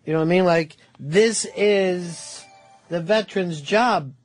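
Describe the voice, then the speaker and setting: A man's voice: sits high, measured pace, soft background music. A man hosting live talk radio from a studio, blunt and profane as he needles his co-host.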